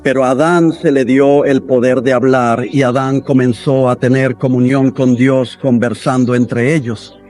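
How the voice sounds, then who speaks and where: A man delivering a sermon as a voice-over, in an earnest, steady preaching manner. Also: medium pitch, a little slow, few pauses, soft background music.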